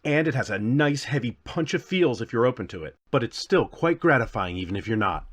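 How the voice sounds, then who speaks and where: A man's voice: medium pitch, big pitch swings, fairly quick, breathy edge. A man narrating a scripted comedic review as host, with a theatrical, wry, self-deprecating delivery.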